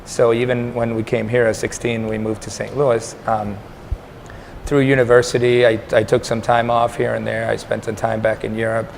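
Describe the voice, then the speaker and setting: A man in his thirties from Denmark, a startup incubator co-founder answering interview questions in a measured, even way. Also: medium pitch, gravelly, rough recording. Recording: faint background noise.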